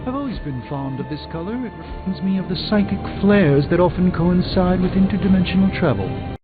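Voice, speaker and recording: Thin, phone-like audio with loud music carries a learned video game sorcerer. His voice sits a little high for a man. He speaks in composed, formal, articulate sentences, with a dry, wry wit. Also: animated, brisk. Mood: composed, knowing. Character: learned, composed, dryly witty adult male sorcerer. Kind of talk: video game character dialogue.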